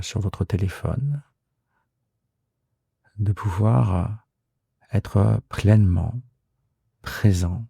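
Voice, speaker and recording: A man guiding a relaxation meditation in a soft, husky, gravelly voice, soothing and unhurried, with hesitant pauses. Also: deep voice, no room sound, studio quality. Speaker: yoga nidra guide and podcast host.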